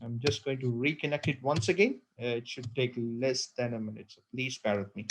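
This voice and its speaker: A man presenting a software webinar on a computer call, talking with many fillers and repeated words. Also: medium pitch, fairly quick, slight background noise. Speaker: product presenter.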